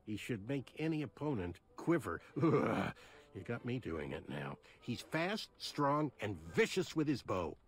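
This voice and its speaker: An actor playing a sly, scheming little gossip in a fantasy video game, conspiratorial and theatrical. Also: male voice, mid-range voice, sing-song, measured pace. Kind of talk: animated video game acting.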